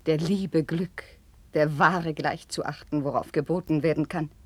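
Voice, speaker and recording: An ardent young princess at a royal court in a vintage radio play. Her voice is lowish and husky, recorded dry and close. She delivers classical slowly and theatrically, moving between hushed confiding and fervent declamation. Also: no room sound, full character voice. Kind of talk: 1950s German radio play, classical verse acting.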